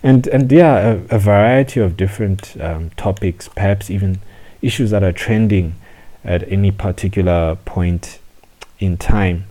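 Man in his thirties with a deep, mellow voice. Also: a little slow. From South Africa. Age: 30s. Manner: reflective, with frequent restarts and hesitations. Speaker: podcast host. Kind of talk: solo podcast monologue.

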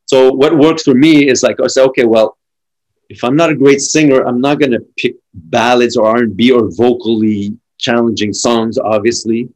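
A middle-aged man from France with a French accent, a drummer chatting casually and telling animated stories full of restarts. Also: mid-range voice, moderate pace, poor audio. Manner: animated storytelling with frequent restarts. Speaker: drummer and podcast guest. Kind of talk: casual conversation.

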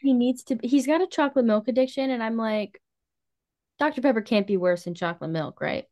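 A woman's voice: fairly high pitch, fairly quick, darkish tone. A woman co-hosting a podcast, talking about books in an informal, conversational style with casual slang. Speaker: podcast co-host. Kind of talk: casual book chat.

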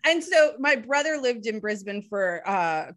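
A woman's voice: a little high, very animated, a little slow, a bit bright. A woman from the United States hosting a podcast, chatting in a playful, cheeky way. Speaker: podcast host. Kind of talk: casual chat.

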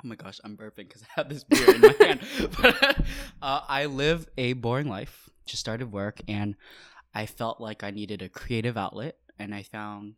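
A man's voice: on the low side, animated, moderate pace, a bit bright. A man in his twenties from Hawaii, co-hosting a casual podcast, laughing often and using many fillers. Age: 20s. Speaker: podcast co-host. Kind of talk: casual chat.

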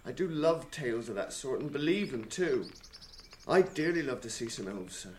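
An actor playing a humble, excitable young hobbit gardener, flustered and deferential, with a rural English accent, in a radio drama. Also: male voice, medium pitch, normal speed, a little hiss or hum, lightly acted. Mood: sheepish, earnest. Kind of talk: British radio drama acting.

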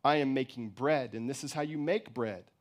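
A man voicing a gentle, wise and sorrowful mother in a story, speaking quietly, tenderly and calmly. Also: medium pitch, close to an everyday voice. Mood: patient, mournful. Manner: tender, quiet, calm, unhurried.

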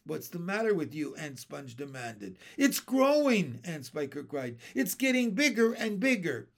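A man voicing a grim, tall and bony old aunt who is harsh and bossy, with a shrill, shouting voice. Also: expressive, moderate pace, creaky. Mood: alarmed.

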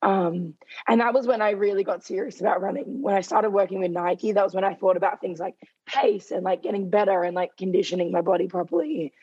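A young woman chats freely in an interview, leaning on "like" in nearly every phrase. Her voice is husky and fading as she loses it. The recording sounds dull and lo-fi. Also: medium pitch, fairly quick, darkish tone. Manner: chatty, heavy use of "like". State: losing her voice. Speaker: guest runner. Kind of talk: casual interview chat.

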